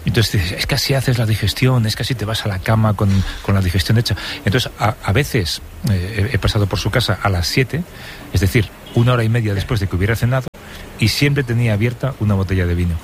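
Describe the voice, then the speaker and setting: A man's voice: fairly low pitch, fast, a little hiss or hum. A man co-hosting a casual chat, hesitant and repeating his words. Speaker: co-host.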